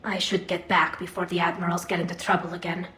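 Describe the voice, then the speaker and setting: A woman's voice: fairly low pitch, level tone, fast talker, pushed and bright, roomy sound. An actress playing an anxious, earnest young alien woman admiral in a video game, speaking hesitantly and full of self-doubt. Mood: earnest, unsure. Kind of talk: video game dialogue acting.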